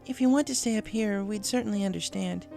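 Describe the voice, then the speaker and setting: A man's voice: high-pitched, fast talker, vocal fry, dark tone, soft background music, pitched above the performer's own voice. A man voicing a bookish, inquisitive young female pony in a storybook reading. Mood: earnest, questioning.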